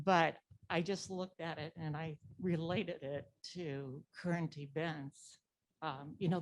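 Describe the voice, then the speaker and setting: A woman's voice: low voice, darkish tone. A woman in the audience at a live event, making an informal, meandering comment in a tentative way on dull audio. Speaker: audience member. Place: live library event room.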